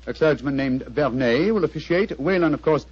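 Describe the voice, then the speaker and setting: A man's voice: on the high side, lively intonation, brisk, darkish tone, slightly rough. A man acting a suave, courteous middle-aged British detective in an old 1940s-style radio drama. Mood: cheerful. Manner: urbane, courteous, clipped. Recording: dull lo-fi old radio recording.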